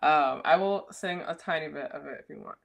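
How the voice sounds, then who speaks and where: A woman's voice: low-pitched, fairly quick, creaky. A woman guest on a podcast, a jazz singer who laughs often and talks casually.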